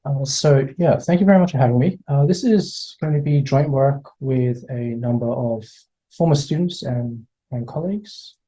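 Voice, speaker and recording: A man's voice: mid-range voice, moderate pace, mellow, slightly rough, low-quality recording. Hesitant Australian man lecturing. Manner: hesitant, repeats words, many fillers. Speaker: guest speaker. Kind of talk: online lecture. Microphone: video call.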